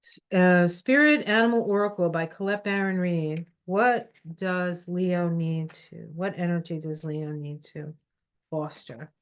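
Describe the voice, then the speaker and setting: A woman with a slightly low voice and a stuffy nose talks through a tarot reading in an encouraging, reassuring way. She sits at her computer at home, and its microphone gives a dull, lo-fi sound. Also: unhurried, smooth. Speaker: tarot reader. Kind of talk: casual tarot reading to camera.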